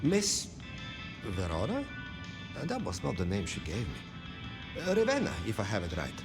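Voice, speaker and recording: A man's voice: on the low side, wildly swooping pitch, normal speed. A man voicing a weary, friendly pawnbroker in a video game, speaking in theatrical, wistful phrases. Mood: gentle, weary. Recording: music behind the voice.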